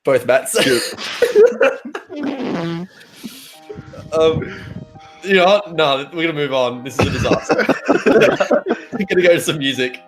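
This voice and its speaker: A man's voice: fairly high pitch, sing-song, slow pace, pauses often, music in the background, rough recording. A man hosting a casual podcast chat, joking and laughing often. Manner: giggly, jokey. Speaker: podcast host.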